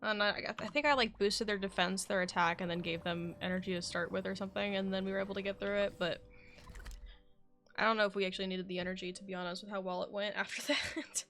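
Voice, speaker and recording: A woman livestreams a game in casual, rambling chat full of self-questioning asides. Her voice is medium in pitch with noticeable fry, and there is faint music behind her. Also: fairly even intonation, quick pace, fairly bright tone. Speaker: game streamer.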